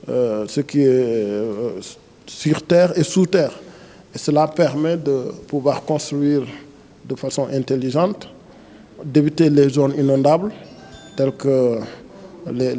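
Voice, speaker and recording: Senegalese official explaining stuff in an interview, guy with soft mellow tone, a bit high, hesitant. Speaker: director general of a planning agency.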